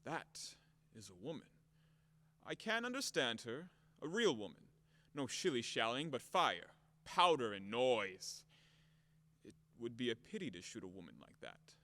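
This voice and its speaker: An actor playing a brash, hot-tempered, blunt creditor in an audio play of a classic farce, with a blustering, theatrical, emphatic manner. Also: male voice, mid-range voice, sing-song, measured pace, pushed and bright, no echo, big-room sound, heavily acted. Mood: smitten, softening.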